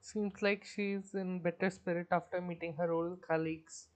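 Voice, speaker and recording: A woman's voice: on the low side, steady tone, normal speed. A woman reacting casually to a game as she live streams it.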